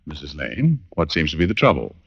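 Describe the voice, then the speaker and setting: An actor playing a self-assured, wisecracking male private detective in an old-time radio drama, delivering wry, deadpan quips. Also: extremely low pitch, a little fast, gravelly, husky, exaggerated voice. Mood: dry, probing. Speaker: lead actor and narrator. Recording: muffled vintage radio recording with music.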